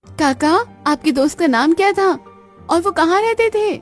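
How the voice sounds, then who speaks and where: A young village daughter-in-law voiced by a woman in a cartoon moral tale, with music underneath. Her voice is high, and she speaks politely and expressively, full of hospitable care. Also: expressive, moderate pace. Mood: concerned. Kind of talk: animated moral story dialogue.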